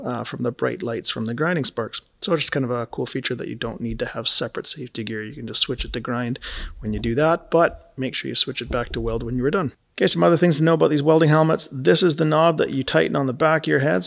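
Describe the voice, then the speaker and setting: A man's voice: medium pitch, creaky, a bit bright. A man in his forties, a welding instructor, explaining safety gear in a plain, practical, folksy way in a large indoor shop. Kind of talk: instructional video.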